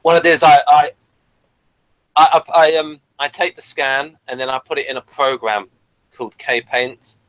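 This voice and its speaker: A man's voice: fairly high pitch, little pitch movement, unhurried, fairly bright tone, no room sound, low-quality recording. A man co-hosting a casual review show, often stammering and restarting his phrases as he explains. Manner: stammering, repeats phrase starts. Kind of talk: casual unscripted review chat.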